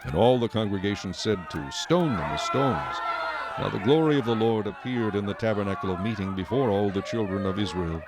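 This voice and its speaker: Low-voiced male scripture narrator. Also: heavy background noise. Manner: solemn, measured. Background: music.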